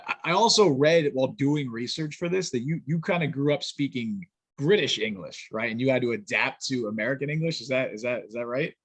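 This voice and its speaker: A man's voice: mid-range voice, expressive, brisk, slightly rough, dry recording. A man hosting a casual interview, asking questions in a conversational way and thinking aloud with small restarts. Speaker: interviewer host.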